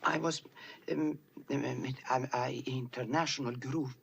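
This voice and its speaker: A woman playing a timid, pious missionary who speaks with a Swedish accent and is not a native English speaker, with halting, hesitant speech full of fillers. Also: rumbling low, fairly even intonation, gravelly, slight background noise, heavily acted. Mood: nervous, halting. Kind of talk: film dialogue.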